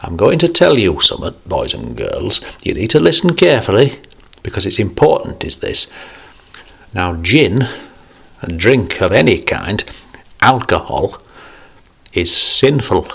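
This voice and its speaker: A man's voice: fairly low pitch, very animated, unhurried, vocal fry, long pauses, fairly bright tone, husky, exaggerated voice. A man voicing a jovial, preachy older man, raising his voice above his narration. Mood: coaxing. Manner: pleading, then cheery and coaxing.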